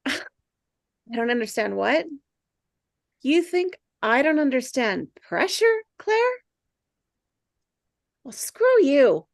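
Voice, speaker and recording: Casting: female reader as insecure misfit schoolboy, higher voice, halting delivery, table-read drama. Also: sing-song, creaky, no room sound, studio quality, exaggerated voice. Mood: upset, indignant. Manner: halting, stammering, sighing. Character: earnest, insecure, awkward teenage boy, a brainy misfit. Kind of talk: table read of a film script.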